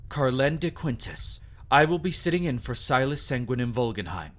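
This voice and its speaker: A man's voice: medium pitch, very animated, moderate pace, lightly acted. Timid, deferential male servant played by a man. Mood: formal, deferential. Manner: nervous, hesitant, apologetic. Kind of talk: dramatic audio roleplay acting.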